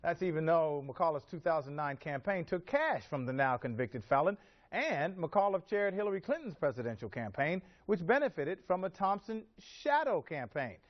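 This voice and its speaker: A man's voice: fairly high pitch, very animated, normal speed. A male TV news anchor reading an intro and then bantering with the reporter. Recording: dull lo-fi broadcast audio.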